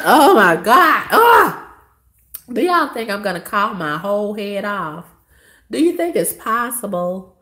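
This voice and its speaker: A hoarse woman with a cough, telling a story to her viewers in a blunt, profane, dismissive way. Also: mid-range voice, very animated, slow pace, pauses often, sharp tone. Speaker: vlogger.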